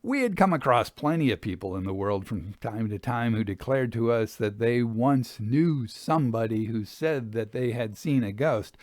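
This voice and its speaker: A man narrating an old-fashioned literary story in a measured, expressive storytelling manner. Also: medium pitch, no room sound, studio quality. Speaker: audiobook reader. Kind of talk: scripted literary narration of a Victorian travel memoir.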